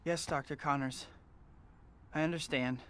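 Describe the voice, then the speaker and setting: A man's voice: on the high side, steady tone, unhurried, a bit bright. An actor playing an earnest young male student who is apologetic and polite. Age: young adult. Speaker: student and pizza delivery worker.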